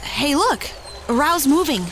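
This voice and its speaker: A woman's voice: high-pitched, expressive, slow pace, fairly bright tone, faint music behind, heavily acted. A woman playing a brash, wry young adventurer in an audio drama, with a slangy, offhand delivery. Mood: alert.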